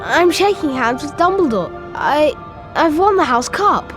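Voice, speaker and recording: An earnest, defiant young boy wizard in a video game. The voice is high and animated, with music playing behind it. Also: unhurried. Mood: earnest, nervous.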